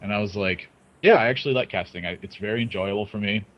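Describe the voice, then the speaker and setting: A man's voice: lowish voice, quick pace, lo-fi sound, slight background noise. A talkative man, a guest who both plays and casts, chatting casually and laughing often. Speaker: guest, player and caster. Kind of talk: casual podcast chat.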